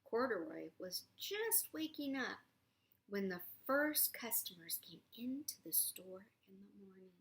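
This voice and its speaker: Animated woman reading a storybook, unhurried. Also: medium pitch. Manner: animated, playful, often drops to whispers. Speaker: storybook reader. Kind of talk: expressive read-aloud to a young child with chatty asides.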